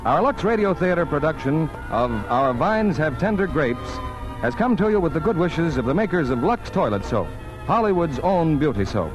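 Lively male radio announcer, 1940s style, scripted, crisp, persuasive, music behind. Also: fairly high pitch, moderate pace, poor audio.

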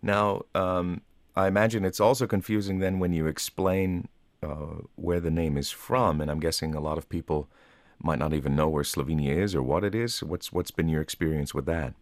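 A man interviewing a guest in a relaxed, curious way, with short acknowledgements between questions. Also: deep voice, normal speed, dry recording.

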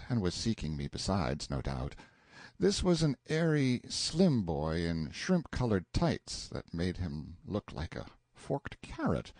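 A man with a fairly low, husky voice reads a story aloud. His pitch moves in lively swings as he gives wry, dramatic first-person narration, recorded close and dry. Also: no echo. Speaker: audiobook narrator.

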